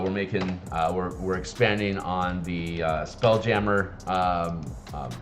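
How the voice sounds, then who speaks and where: A man with a raspy morning voice, chatting casually on a live stream and rambling with many fillers and restarts. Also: sits low. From Seattle area. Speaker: streamer and game designer.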